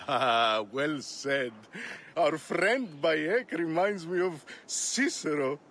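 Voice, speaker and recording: An actor playing a pompous, hungover Greek drunkard who groans and complains floridly in a video game. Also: male voice, on the high side, lively intonation, slow, fairly bright tone, a little hiss or hum, heavily acted. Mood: wry. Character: hungover, pompous, theatrical drunkard Greek man, grumbling wit.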